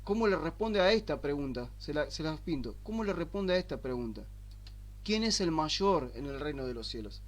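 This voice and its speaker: An Argentine man preaching a sermon, asking rhetorical questions and slowing down to stress the scripture he quotes. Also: fairly high pitch, lively intonation. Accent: Argentine Spanish. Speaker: pastor. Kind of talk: sermon teaching.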